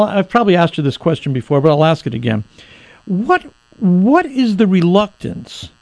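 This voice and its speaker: A man's voice: fairly high pitch, a little mellow, no echo. A man hosting a radio talk show, asking earnest, exasperated questions with frequent restarts. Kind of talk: radio interview.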